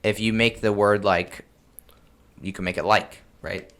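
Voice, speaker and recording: A man with a fairly low voice hosts a podcast. He explains in a casual, conversational style, leaning on filler words and breaking off to rephrase himself. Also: level tone, measured pace, a bit bright. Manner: informal, frequent filler words and self-interruption. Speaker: podcast host giving game master advice.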